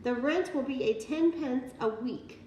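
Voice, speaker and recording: A woman's voice: sits high, unhurried, dark tone. A woman voicing a blunt, incredulous male farmer with an emphatic, grumbling manner in a storybook reading. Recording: roomy, distant mic, faint noise.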